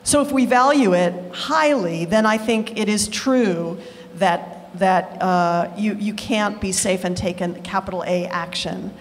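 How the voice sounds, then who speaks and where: A woman's voice: mid-range voice, measured pace, slight background noise. A woman who works as a theater director, sharing in a group discussion with an eager, rambling, self-correcting manner. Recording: long hall reverb.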